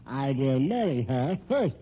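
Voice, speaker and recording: Excitable, swooping rich old man. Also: medium pitch, measured pace. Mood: suspicious, stubborn. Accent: American. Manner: stammering, blustery, repeats himself. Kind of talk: old-time radio crime drama acting. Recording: dull old radio recording, faint noise.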